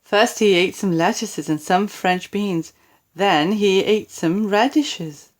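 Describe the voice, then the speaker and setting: A woman with a British accent narrating a children's storybook expressively, with deliberate dramatic emphasis on key words. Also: medium pitch. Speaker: storybook reader.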